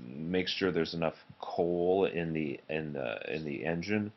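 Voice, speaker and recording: A man's voice: rumbling low, unhurried, dull audio. A man reading a children's story aloud expressively, with casual asides. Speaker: story reader.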